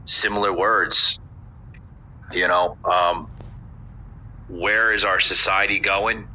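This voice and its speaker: A man hosts a casual political podcast, his low voice flat yet bright-edged. He talks in a halting, stop-start way. The audio is dull and lo-fi. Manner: halting, pauses with many hesitations. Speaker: podcast host.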